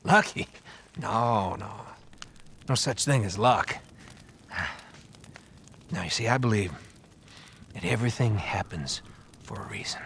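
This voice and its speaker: An American man playing an ingratiating survivor leader in a video game, speaking in a husky voice with a hesitant, folksy, persuasive manner. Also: on the low side, unhurried, pauses often, a bit bright, slight background noise. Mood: quietly intense. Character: soft-spoken, ingratiating adult male survivor leader with hidden menace. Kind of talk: naturalistic video game acting.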